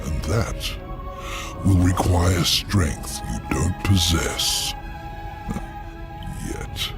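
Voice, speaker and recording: An actor playing a scheming ancient male demon lord, slow and menacing, in a video game cutscene with loud music. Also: deep voice, full character voice. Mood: contemptuous. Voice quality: gravelly. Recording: game audio with loud score.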